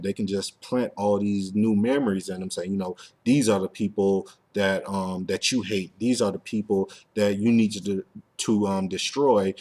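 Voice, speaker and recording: A man's voice: fairly low pitch, darkish tone. A man hosting a casual fan talk show, speaking informally and with plenty of slang. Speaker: podcast host. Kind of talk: casual fan discussion of an animated series.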